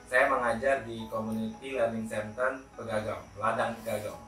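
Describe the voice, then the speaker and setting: A man from Palembang in Indonesia, a teacher and interview guest, telling his story casually and pausing to search for words. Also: on the low side, flat delivery, pushed and bright. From Palembang, South Sumatra, Indonesia. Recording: roomy echo, distant mic, faint music.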